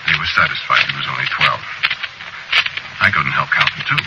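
A man's voice: extremely low pitch, fairly quick, lo-fi sound. An actor playing an agitated working-class husband who tells his tale in streetwise, ungrammatical speech, in an old radio drama style. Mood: puzzled, narrating. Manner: streetwise, ungrammatical, swings from telling to hollering. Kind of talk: old radio drama, first-person narration with shouted outbursts. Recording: noisy old radio recording.

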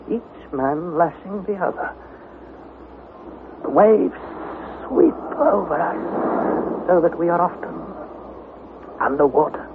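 A man playing an anxious young nephew who narrates a perilous sea voyage as a dramatised journal, in a theatrical, intense manner. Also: on the high side. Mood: weary, grave. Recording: tinny narrow band, heavy background noise.

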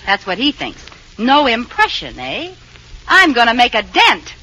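An actress playing a scheming, theatrical wife who puts on a mad act in a radio comedy. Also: female voice, on the high side, huge pitch swings, unhurried, pushed and bright, heavily acted. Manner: melodramatic, mocking. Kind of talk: old-time radio situation comedy acting. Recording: dull, very noisy old radio recording.

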